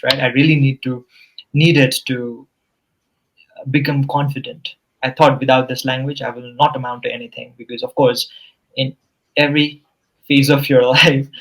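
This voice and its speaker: A man's voice: medium pitch, a bit bright, rough recording. Earnest male English tutor, Nepali native. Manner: earnest, explanatory, with frequent "you know" and "right?". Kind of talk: casual conversational interview.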